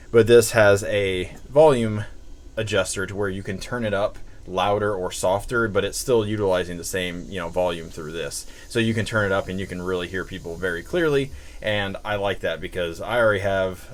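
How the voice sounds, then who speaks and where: Deep-voiced American man, casual gear reviewer. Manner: rambling, conversational, full of hedges and asides. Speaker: gear reviewer presenting a product. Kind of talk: casual unscripted product review.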